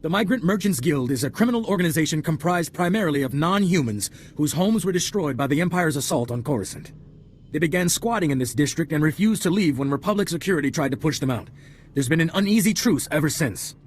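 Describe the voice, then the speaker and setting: An actor playing a dutiful military corporal who gives a formal, clipped briefing and ends nearly every point with "sir". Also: male voice, on the high side, expressive, quick pace, a little hiss or hum, lightly acted. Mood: earnest. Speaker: briefing officer. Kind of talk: video game cutscene voice acting.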